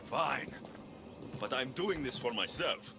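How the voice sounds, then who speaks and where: An actor playing a distrustful Greek mercenary hero in a video game cutscene, curt, sardonic and challenging. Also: male voice, medium pitch, lively intonation, slow, gravelly. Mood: sardonic, wary. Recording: music behind.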